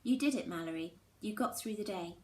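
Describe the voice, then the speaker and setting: A woman's voice: mid-range voice, a little fast, lightly acted. An echoey recording with faint noise behind. A woman reads as a storybook schoolgirl heroine, hesitant and earnest, a little guarded but supportive. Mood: reassuring. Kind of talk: storybook character dialogue. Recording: roomy echo with faint background noise.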